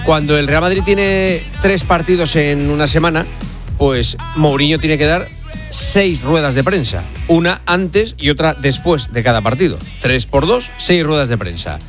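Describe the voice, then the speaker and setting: A man hosting a sports talk radio show, lively and teasing with his guests. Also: on the high side, measured pace, soft background music. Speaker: radio host.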